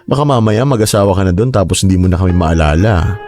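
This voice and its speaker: A man's voice: low-pitched, expressive, fast, dark tone. A man playing a kindly, cautious older uncle in a Tagalog radio drama, with a Filipino accent. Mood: teasing, fond.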